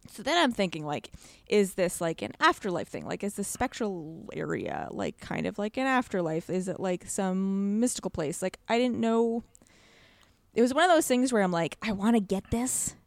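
A young woman chatting casually as a podcast guest about a video game, rambling in an animated way and often saying "like". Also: medium pitch, normal speed, gravelly, no room sound. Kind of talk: casual chat.

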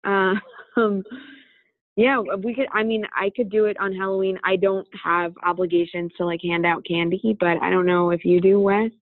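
A woman's voice: mid-range voice, flat delivery, moderate pace, no echo. A woman who teaches talks casually about books as a podcast co-host, chatty and full of fillers and restarts. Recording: dry, tinny phone-like line.

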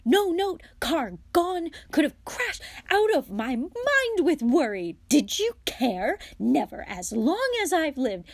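A woman voicing a scolding, fussing but kind-hearted mother in a storybook reading, with loud, emphatic delivery. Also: medium pitch, no echo.

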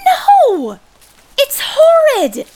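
A woman's voice: very high-pitched, huge pitch swings, drawn-out words, full character voice. A woman playing a spirited, blunt tomboyish young writer in a period audio play, earnest and emphatic, with playful moments. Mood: indignant. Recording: noticeable background noise.

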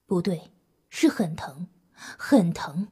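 A woman's voice: on the low side, expressive, slow pace, mellow, husky. A woman narrating a scripted audio drama as a storyteller, in a dramatic style.

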